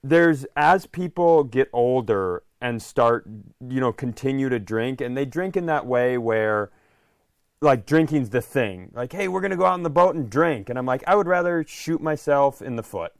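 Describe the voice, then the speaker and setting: A man's voice: medium pitch, animated, normal speed, no room sound, clean studio sound. A man co-hosting a podcast, rambling through stories and opinions in a wry, casual way. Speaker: podcast co-host. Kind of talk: casual comic chat.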